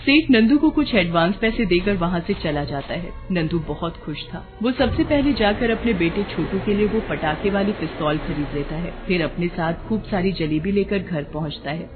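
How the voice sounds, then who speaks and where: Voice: mid-range voice, expressive, moderate pace, few pauses, phone-quality sound, music in the background. A narrator telling a scripted moral story for a cartoon.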